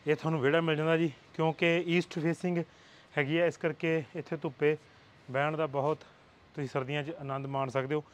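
A middle-aged Punjabi man walks through a bare new house, describing it in a steady, explanatory way. His voice sits a bit high and stays level in pitch. Echo from the empty rooms lingers around his lapel-mic sound. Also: a little fast. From Punjab, India. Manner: steady, explanatory, mixes English words into his speech. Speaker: real estate agent giving a house tour. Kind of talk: walking property tour commentary.

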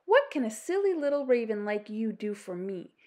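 A woman's voice: on the high side, big pitch swings, normal speed, a little mellow. Sneering old storybook witch, played by a woman. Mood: scornful. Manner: scornful, incanting. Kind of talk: children's storybook read-aloud.

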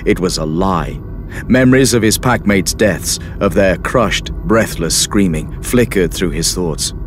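A man's voice: deep voice, a little slow. A man giving dramatic scripted narration as the storyteller of an audio drama. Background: music.

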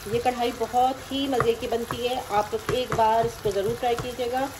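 A woman's voice: a little high, flat delivery, moderate pace, background noise. A woman hosting a cooking channel, explaining each recipe step plainly in a friendly voice-over. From Pakistan. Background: frying and sizzling.

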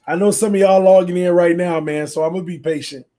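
A man hosting a casual livestream from his room at home while setting up a game, talking quietly because he is losing his voice. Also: high voice, steady tone, quick pace. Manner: talks quietly to spare his voice, reads chat aloud. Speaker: sports channel host. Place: small home room full of fan decor. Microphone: desk mic on stand.

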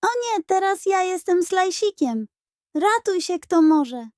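A woman voicing an excitable, slightly bossy older brother, a boy who exclaims loudly and urgently in a children's audiobook. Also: very high voice, takes it slowly, no room sound, clean studio sound, pitched above the performer's own voice, heavily acted. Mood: playful alarm.

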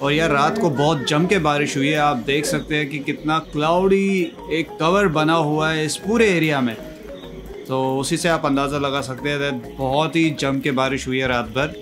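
A young man narrating a casual travel vlog while exploring hill viewpoints and restaurants, relaxed and chatty, with many fillers and English phrases mixed in. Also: on the high side, normal speed, over music. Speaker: travel vlogger.

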